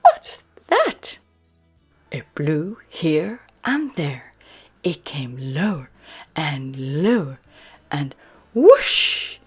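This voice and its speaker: Female children's storyteller: breathy, highly animated read-aloud, unhurried to an extreme, music underneath. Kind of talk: expressive picture-book read-aloud. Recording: music behind the voice.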